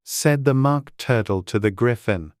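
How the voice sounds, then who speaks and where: Clear-voiced storybook narrator, dry recording. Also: mid-range voice, a little slow, darkish tone, dry recording. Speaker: audiobook narrator.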